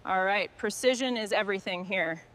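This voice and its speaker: A woman's voice: medium pitch, moderate pace, a bit bright, a little hiss or hum. A woman working as a mechanic, giving short workshop instructions and commentary on a truck restoration.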